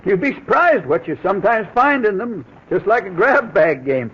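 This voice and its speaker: A man's voice: high-pitched, very animated, normal speed, a bit bright, slight background noise. An actor playing a plain-spoken working-class trucking clerk who hesitates and fumbles over his words in a 1940s radio drama. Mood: folksy. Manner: hesitant, full of uhs, ungrammatical. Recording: muffled old radio broadcast.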